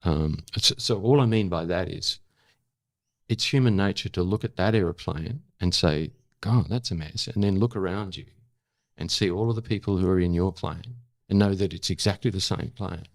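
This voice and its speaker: Very deep-voiced older man. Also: no room sound. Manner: thoughtful, halting and self-correcting. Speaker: interview guest, former journalist and actor. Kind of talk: reflective conversational storytelling.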